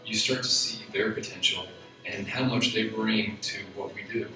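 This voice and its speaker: A man who runs a bus company, speaking plainly and a bit haltingly in a documentary interview. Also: lowish voice, steady tone. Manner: plain-spoken, halting with repeats. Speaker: company president. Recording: echoey, from far off, with music.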